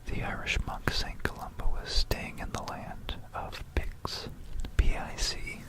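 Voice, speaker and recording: Very slow, hushed ASMR whisperer. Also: rough recording. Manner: hushed, informal, musing, self-correcting. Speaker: ASMR video creator. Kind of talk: whispered ASMR history talk. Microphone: close stereo binaural microphone.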